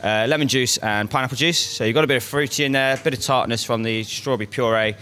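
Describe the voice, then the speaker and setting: Brisk bright-voiced English bartender. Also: male voice, medium pitch. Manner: brisk, explaining a drink.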